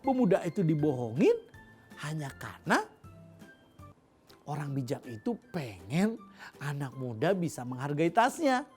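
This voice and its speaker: An Indonesian woman narrating a children's fairy tale in an animated, expressive way with dramatic pauses. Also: deep voice. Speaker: children's storyteller. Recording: music behind the voice. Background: music.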